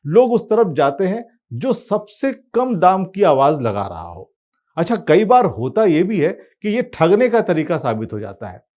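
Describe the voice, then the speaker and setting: A man's voice: high voice, huge pitch swings, unhurried, darkish tone. A man presenting a satirical monologue to viewers in a wry, conversational storytelling manner.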